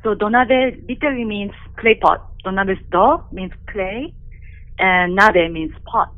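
A woman's voice: medium pitch, a little slow. A middle-aged woman from Japan with a Japanese accent, a cookbook author explaining things earnestly as a guest in a phone interview. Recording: tinny phone line.

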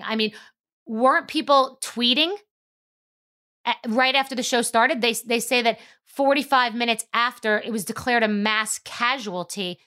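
A woman's voice: a little high, pushed and bright. A woman hosting a podcast, talking emphatically in a casual monologue and rising to incredulous outbursts. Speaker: podcast host.

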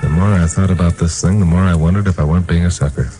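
An actor with an American accent playing a wry, level-headed investigator in a 1950s radio crime drama, measured and matter-of-fact. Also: male voice, low-pitched, fairly even intonation, loud music behind the voice, close to an everyday voice. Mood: brooding narration. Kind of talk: 1950s radio crime drama acting with first-person narration.

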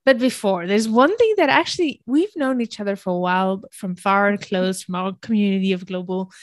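A woman's voice: mid-range voice, fairly quick, no room sound. A woman hosting a podcast interview, enthusiastic and effusive as she introduces her guest. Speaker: podcast host and business coach.

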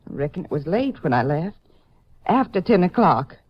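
An actress playing a guarded, polite country doctor's helper with a hesitant manner, in 1950s radio style. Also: female voice, lowish voice, very animated, moderate pace, slightly rough. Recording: muffled 1950s radio recording.

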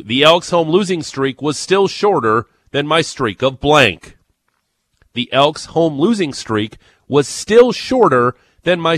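Ontario-accented male morning-radio host, lowish and dry-miked, excitable and teasing. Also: no room sound. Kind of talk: morning sports radio chat. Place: radio studio. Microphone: studio microphone.

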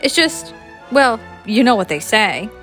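A woman's voice: fairly high pitch, sing-song, unhurried, fairly bright tone, faint music behind. A woman storyteller voicing a bashful young man, hesitant and awkwardly casual with his old friend. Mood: sheepish, joking.

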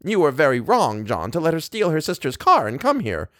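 A man's voice: fairly high pitch, wildly swooping pitch, brisk, dry recording, studio quality, voice pushed up. A man voicing a haughty, bossy older wife in an audiobook, with an imperious, emphatic, self-important manner. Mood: scolding, self-important. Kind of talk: audiobook character voice.

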